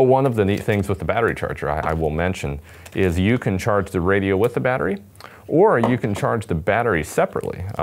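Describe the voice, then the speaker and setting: A man's voice: sits low, slight background noise. A man in his twenties giving a casual, upbeat product demonstration to camera in an indoor studio. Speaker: product demonstrator for a radio company. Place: indoor studio set with table. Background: background music.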